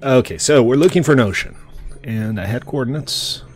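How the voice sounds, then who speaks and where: A man's voice: medium pitch, normal speed. A man streaming a game, a little stuffy in the nose, chatting easily with friends and thinking aloud as he plays. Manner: easygoing, thinking aloud with fillers. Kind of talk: casual gameplay chat.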